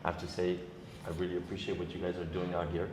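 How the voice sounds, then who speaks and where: A young man from Senegal, a guest DJ, talking casually in an interview with many ums and pauses. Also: sits low. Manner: relaxed, hesitant, many ums and uhs. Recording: echoey room with background noise.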